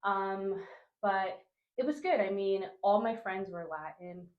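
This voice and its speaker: A woman's voice: mid-range voice, slow, roomy sound, muffled recording. A young woman from Honduras who grew up in New Jersey, talking casually as a podcast guest and filling her speech with "like" and "you know". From Honduras, raised in New Jersey. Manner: chatty, many fillers like "like" and "you know". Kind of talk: casual conversational interview.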